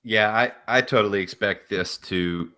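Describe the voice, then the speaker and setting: A man's voice: on the low side, normal speed, sharp tone. A man co-hosting a casual wrestling podcast, speaking in an exasperated, emphatic way. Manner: exasperated, emphatic, mildly profane. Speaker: podcast co-host. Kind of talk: casual wrestling commentary chat.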